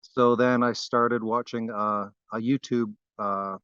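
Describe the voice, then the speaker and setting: A man from Barbados, a guest wood carver, talking in a relaxed interview with frequent fillers and restarts. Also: medium pitch, slow, clean tone, dry recording, lo-fi sound.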